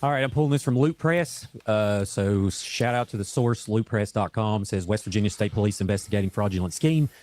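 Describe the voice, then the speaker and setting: A hoarse man reading a news report aloud in a casual way, stumbling now and then and adding his own corrections. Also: lowish voice, brisk, few pauses, darkish tone, no echo. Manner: casual, stumbles over words, adds corrections. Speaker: online video host reading a news statement.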